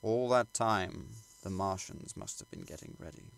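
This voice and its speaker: Male audiobook narrator, lowish gravelly voice, measured formal delivery of classic literary prose. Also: wildly swooping pitch. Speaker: audiobook reader.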